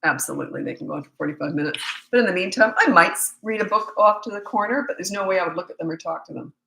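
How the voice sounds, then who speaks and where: A woman's voice: medium pitch, very fast, gravelly, bright, forceful tone, reverberant, low-quality recording. A woman in her sixties with a cold, her voice giving out, giving blunt, matter-of-fact parenting advice on a live stream. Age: 60s. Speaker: parenting coach.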